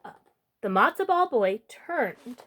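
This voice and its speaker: Animated high-voiced woman reading a story. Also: takes it slowly. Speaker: storytime host reading aloud. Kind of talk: expressive children's storybook reading with asides.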